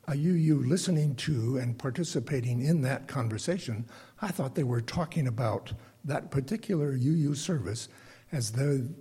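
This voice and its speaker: An older man with a bass singing voice gives a reflective, prepared lay sermon to a congregation, speaking deliberately and haltingly with pauses. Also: warm tone, husky. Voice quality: self-described bass voice.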